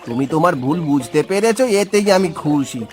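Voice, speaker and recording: Man as an earnest, higher-voiced poor farmer father. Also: expressive, a little fast, a little mellow, soft background music, lightly acted. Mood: earnest, kindly. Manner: earnest, dramatic. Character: poor, kind-hearted farmer and loving father. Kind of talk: cartoon folk-tale dialogue.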